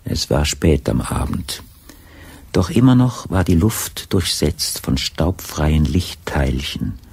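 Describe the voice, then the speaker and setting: An actor narrating a crime radio drama as an unhurried storyteller, measured and deliberate in his literary delivery. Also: extremely low pitch, very animated, darkish tone, husky, exaggerated voice.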